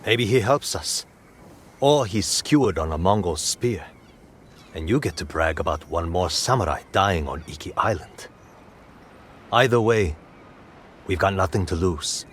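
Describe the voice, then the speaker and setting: An actor playing a pragmatic raider lieutenant in a game cutscene, with a dry, matter-of-fact delivery. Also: male voice, deep voice, animated, measured pace, pauses often, fairly bright tone, slight background noise. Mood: dry, persuasive. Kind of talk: video game cutscene acting.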